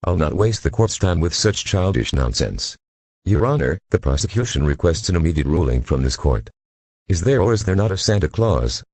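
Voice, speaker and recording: A man playing an exasperated, forceful assistant district attorney with a husky voice in a radio play. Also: deep voice, animated, moderate pace, no room sound. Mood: stern, challenging.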